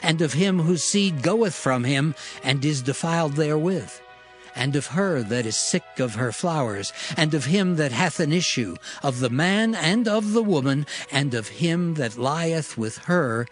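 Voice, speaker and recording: Higher-pitched male scripture narrator. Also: animated. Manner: measured, formal. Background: soft music.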